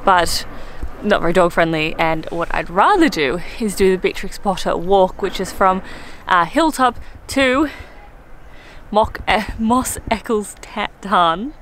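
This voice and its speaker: Young Australian woman travel vlogger, chatty and bubbly, handheld camera, outdoor noise clearly audible. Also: medium pitch, a little slow, a bit bright. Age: young adult. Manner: chatty and bubbly, with frequent "like" and laughs. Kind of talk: casual travel vlog. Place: outdoors, in a rowboat on a lake and by a lakeshore. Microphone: handheld camera close to the face. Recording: outdoor wind and water noise.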